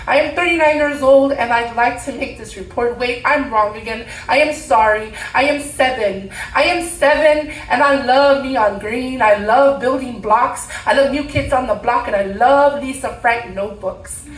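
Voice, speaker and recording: A woman in her thirties performing spoken word poetry live, emphatic, with deliberate repeated phrases. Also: on the high side. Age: 30s. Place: room at a live poetry event. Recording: roomy, distant mic, faint background noise.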